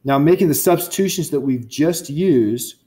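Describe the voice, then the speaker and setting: A man's voice: fairly high pitch, moderate pace, dark tone. Man lecturing physics, thinking aloud. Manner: thinks aloud, self-correcting, chatty asides to students. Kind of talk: university lecture at a board. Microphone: lecture recording.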